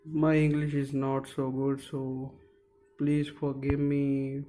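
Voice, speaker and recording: Slow, halting man. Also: medium pitch, completely flat delivery, warm tone, slight background noise. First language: Punjabi. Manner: hesitant, searching for words, many fillers. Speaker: DIY maker. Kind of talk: casual how-to narration.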